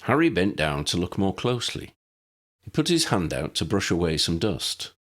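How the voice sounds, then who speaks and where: A man's voice: deep voice, big pitch swings, moderate pace, a bit bright. A man reading a story aloud as a scripted audiobook narration.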